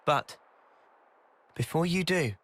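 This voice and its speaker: A determined young soldier, in a game cutscene. His voice sits fairly high with a husky grain. He speaks earnestly, like a leader winning people over. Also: slow pace, fairly bright tone, dry recording. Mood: earnest, persuasive. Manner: earnest, persuasive. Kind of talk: video game cutscene voice acting.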